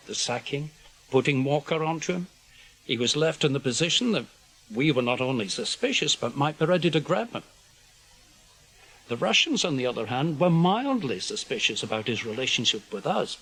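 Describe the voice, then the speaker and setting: An actor playing an older British intelligence officer in a radio drama, guarded and weary, speaking in measured, clipped phrases. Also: male voice, medium pitch, a bit bright, breathy edge, exaggerated voice, low-quality recording. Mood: measured recounting. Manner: measured, clipped, explanatory delivery in short phrases. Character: senior male British intelligence officer, guarded, weary, conscience-burdened spymaster.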